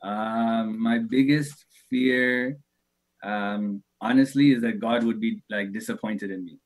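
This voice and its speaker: A man's voice: lowish voice, takes it slowly, low-quality recording. A man in his thirties, a religious teacher, answering interview questions thoughtfully, hesitating often and laughing easily. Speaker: shaykh, religious speaker.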